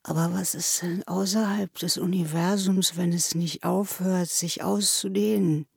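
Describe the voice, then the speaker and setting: A woman's voice: fairly low pitch, fairly even intonation, a little slow, creaky, darkish tone, slightly rough, dry recording, studio quality, exaggerated voice. A woman voicing a drunk, despairing young male student in an audiobook, plaintive and childish, with slurred, tearful speech. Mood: childlike wondering. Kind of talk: audiobook character acting.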